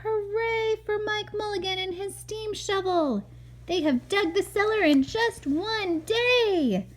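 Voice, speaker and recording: A woman voicing an excited crowd of cheering townspeople in a storybook. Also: very high voice, slow pace, voice pushed up. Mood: jubilant.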